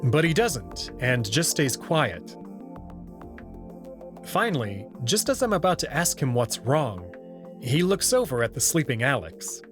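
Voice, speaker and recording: A man's voice: mid-range voice, sing-song, a little slow, over music. A young man reading scripted first-person narration for a visual novel as its protagonist.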